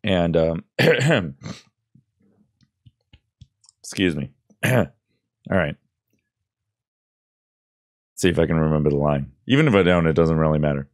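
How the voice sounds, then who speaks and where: A man's voice: very deep voice, lively intonation, slow, long pauses, no echo. A man in his thirties presenting an audio tutorial in an informal, loosely explanatory way, close on a desk microphone. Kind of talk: casual screen-recorded tutorial. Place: indoors at a computer desk. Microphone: close boom-arm microphone with headphones.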